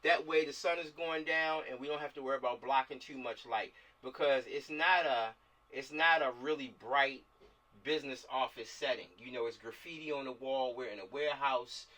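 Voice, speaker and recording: A bright-voiced American man chats casually, organizing plans like a director. He circles back over his points and keeps checking that listeners follow. He sounds a little far from the mic on a video call. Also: mid-range voice, moderate pace. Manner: repeats himself, often seeks agreement. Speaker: film director organizing a shoot. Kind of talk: casual planning chat on a group video call.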